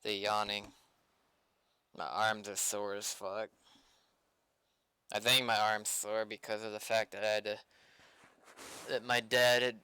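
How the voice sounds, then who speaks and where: A man's voice: lowish voice, bright, forceful tone, clear, resonant tone, dry recording. A tired man talking casually to himself and swearing as he works on a live stream. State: tired, sighing. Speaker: streamer developing a game roleplay server. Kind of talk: casual unscripted stream talk while working.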